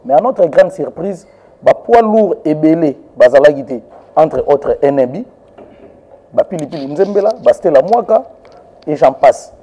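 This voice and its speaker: A man's voice: a little high, lively intonation, normal speed, warm tone, highs cut off. A male journalist asking interview questions, hesitant, with frequent fillers.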